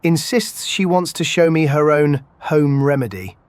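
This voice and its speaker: A man's voice: on the high side, expressive, a little slow, dry recording. An actor playing an easygoing, flirtatious male field doctor in an audio drama, wry and laid-back. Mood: wry, relaxed.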